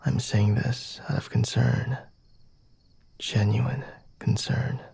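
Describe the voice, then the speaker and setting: A husky, breathy male vampire played by a man in an intimate ASMR roleplay, murmuring in half-whispers with a gravelly fry. He is self-assured and teasing, condescending yet merciful. His delivery is unhurried and softly mellow, with formal, deliberate wording. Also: mid-range voice, fairly even intonation, no room sound, exaggerated voice. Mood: condescending concern. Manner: slow, deliberate, formal wording with sighs and hums.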